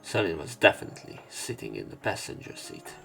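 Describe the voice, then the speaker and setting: A man's voice: deep voice, very animated, a little fast, creaky. A man hosting a let's play, reading a visual novel's narration and dialogue aloud.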